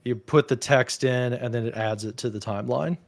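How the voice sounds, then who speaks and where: A man's voice: mid-range voice, brisk, creaky. A man hosting a casual chat, talking easily and filling his speech with "like" and "you know". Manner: relaxed, chatty, peppered with "like" and "you know". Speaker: host. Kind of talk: casual chat on a video call.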